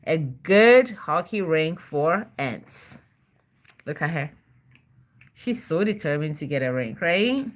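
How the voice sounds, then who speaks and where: A woman's voice: deep voice, expressive, takes it slowly. A woman, a mother, reading a story aloud to her child with simple explanations and little check-in questions.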